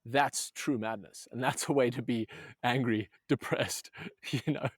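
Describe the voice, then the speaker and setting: A man's voice: medium pitch, steady tone, a little slow, husky, dry recording, clean studio sound. A man from Zambia, a movement coach, talking earnestly in a casual podcast conversation, with many restarts.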